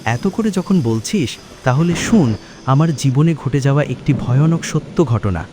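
A man voicing a friendly young man chatting at a tea stall, with loud music behind him. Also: mid-range voice, lively intonation, a little slow, close to an everyday voice.

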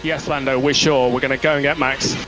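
A man speaks as a race engineer over team radio with a mid-range voice. His delivery is clipped and calm, and he repeats his instructions. Clear car noise runs behind the transmission. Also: mid-range voice, a little fast. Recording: noisy motor racing radio, engine noise.

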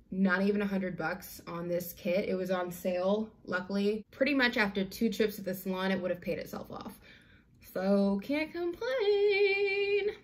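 A young adult woman with an American accent chatting informally to camera in a slangy, conversational way, as a product reviewer in a home room. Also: medium pitch. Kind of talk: casual chat to camera. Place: small home room, indoors.

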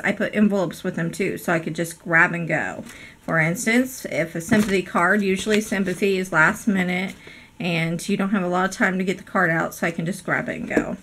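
A woman hosting a casual crafting vlog, hoarse from a cold, chatty and apologetic with many ums. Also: medium pitch, bright, forceful tone. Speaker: craft channel host. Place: indoor craft room. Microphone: overhead camera, speaker off screen.

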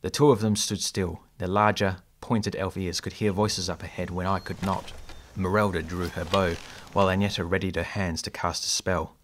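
A man playing an earnest adventurer, narrating his tale in a measured, descriptive audio drama style. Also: low-pitched, flat delivery, fairly bright tone. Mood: measured, suspenseful. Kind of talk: scripted first-person storytelling.

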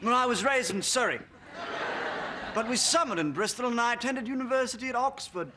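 A man's voice: very high-pitched, brisk, background noise. An actor playing a cheeky young man who puts on an absurdly posh, pompous British accent in a sitcom. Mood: smug, pompous. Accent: British, put on. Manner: playful, pompous, mock-British slang. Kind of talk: sitcom acting. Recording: loud music behind the dialogue.

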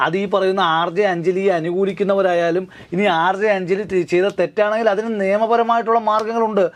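A man giving legal commentary as a studio guest, talking fast and answering his own rhetorical questions. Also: sits high, little pitch movement. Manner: rapid, repetitive, keeps asking himself rhetorical questions. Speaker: lawyer guest.

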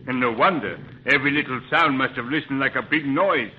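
An actor playing a plucky, good-natured Irish pilot in a 1930s radio serial, with a husky voice and a stage Irish accent. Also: male voice, mid-range voice, brisk, natural voice. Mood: hearty, relieved. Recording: tinny band-limited 1930s radio recording.

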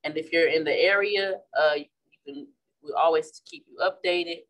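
A woman's voice: low-pitched, moderate pace, low-quality recording. Chatty Midwestern woman teaching a craft. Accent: Midwestern American. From St. Louis, Missouri. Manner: chatty, relaxed, restarts often while working. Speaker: florist and class instructor. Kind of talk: casual demonstration while painting. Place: indoor flower shop worktable.

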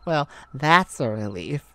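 A woman playing an excitable, affectionate mage sweetheart in a romantic ASMR roleplay, with a gushing, easily flustered, rambling manner. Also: sits low, dry recording, full character voice. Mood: delighted.

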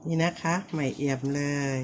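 A woman, called auntie by herself, giving a casual home demonstration, speaking politely and repeating short pointing phrases with soft polite endings. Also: moderate pace, slight background noise. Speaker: vlogger showing how to clean banknotes.

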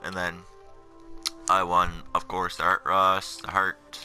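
A man's voice: low-pitched, completely flat delivery, slow, sharp tone. A tired young man in his late teens giving rambling, casual commentary on a video game. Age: late teens, seventeen. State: tired, up in the middle of the night. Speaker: video gamer narrating his career mode. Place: voice-over on game menu footage. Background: music.

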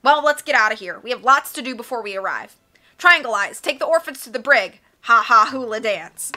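A woman voicing a theatrical, greedy male villain with a raspy, boastful delivery for a storybook. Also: a little high, brisk, bright, forceful tone. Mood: boisterous triumph.

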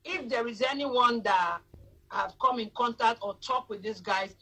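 A woman's voice: a little high, unhurried, noisy background. A woman in her thirties hosting a live stream from her phone at home, lecturing her viewers emphatically. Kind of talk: casual live-stream chat. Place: indoor room at home. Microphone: phone front camera.

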